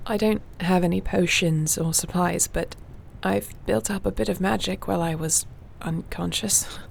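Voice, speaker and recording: A woman playing a gentle, wry warrior shifter with healing magic in an intimate fantasy audio roleplay, speaking softly and soothingly without hurry. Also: on the low side, steady tone, normal speed, creaky, slight background noise. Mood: tender, concerned.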